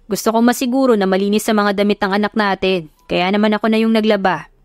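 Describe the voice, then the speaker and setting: A woman's voice: mid-range voice, fairly even intonation, quick pace, smooth, dry recording, studio quality, natural voice. A woman voicing a playful, sly young husband in an easygoing, teasing tone.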